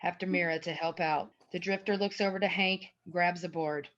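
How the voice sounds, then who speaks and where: A woman's voice: lowish voice, fairly even intonation, moderate pace, fairly bright tone. A woman reading stage directions as narrator at a table read, recorded over a lo-fi video call. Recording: dull lo-fi video call audio.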